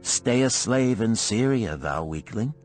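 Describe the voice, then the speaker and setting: A man's voice: fairly low pitch, expressive, unhurried, a little mellow, faint music behind, pitched above the performer's own voice. A man voicing a stern, cold older woman, a chieftain's wife in a storybook, with blunt, formal, old-fashioned speech. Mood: scornful. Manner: formal archaic phrasing, imperious.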